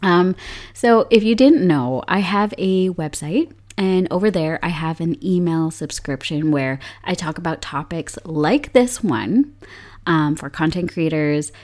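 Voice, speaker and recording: A young woman hosting a solo podcast, her voice hoarse from illness, upbeat and chatty with frequent laughs. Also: fairly low pitch, lively intonation, measured pace, darkish tone. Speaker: podcast host.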